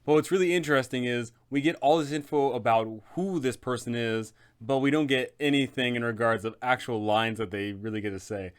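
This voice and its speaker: A man's voice: medium pitch, clean tone. A man hosting a game master's guide, narrating in an emphatic, conversational explainer style.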